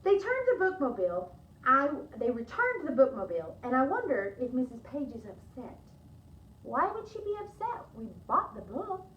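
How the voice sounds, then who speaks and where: A woman's voice: high-pitched, very animated, moderate pace, fairly bright tone, far from the mic, a little hiss or hum. A woman reading a children's storybook aloud, with chatty asides to young listeners, in an echoey room with slightly dull sound.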